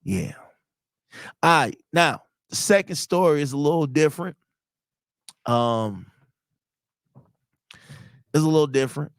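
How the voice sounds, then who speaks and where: A man's voice: fairly high pitch, expressive, unhurried, long pauses, no echo, studio quality. A man in his thirties from telling personal stories in a casual, slangy, conversational way as a podcast host. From Chicago, United States. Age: 30s. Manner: conversational, slangy, acts out quoted dialogue.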